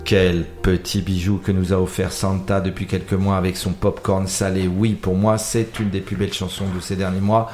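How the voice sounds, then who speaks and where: A man's voice: deep voice, little pitch movement, music in the background. A man hosting a radio talk show, chatty and theatrical, leading guests and callers. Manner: warm, theatrical, quotes lyrics slowly. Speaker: radio host.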